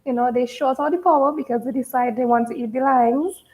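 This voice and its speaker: A woman's voice: high voice, steady tone, a little fast, a little mellow, poor audio. A woman from Trinidad and Tobago, chatting casually as a guest on a live interview show and laughing easily.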